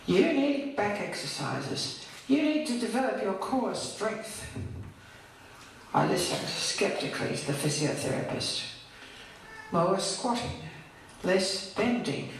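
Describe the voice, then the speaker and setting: An older woman recites a poem at a slow, deliberate pace. Her voice is heard from a distance in a very echoey hall. There is some background noise around it. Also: mid-range voice, sing-song. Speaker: poet reading her own poem. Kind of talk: scripted poetry recital.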